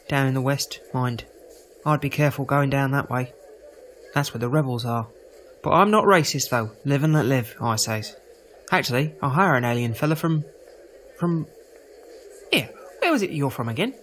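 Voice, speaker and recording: An actor playing a prejudiced, chatty market-stall trader in an audio drama, rambling in a folksy, defensive way. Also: male voice, medium pitch, animated, normal speed, a bit bright, slight background noise, close to an everyday voice. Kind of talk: full-cast audio drama acting.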